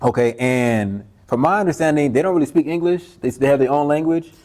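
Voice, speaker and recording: A man's voice: on the high side, a little fast, darkish tone. An American man hosting a casual vlog interview, slangy, asking questions and giving frequent short acknowledgements. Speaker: host, interviewer.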